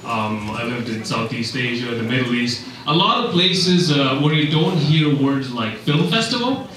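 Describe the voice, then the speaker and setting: A young man from Russia doing a stand-up comedy set with wry, deadpan delivery and lots of ums. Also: mid-range voice, moderate pace, noisy background. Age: young adult. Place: comedy club stage. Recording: echoey club recorded from a distance. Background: audience laughter.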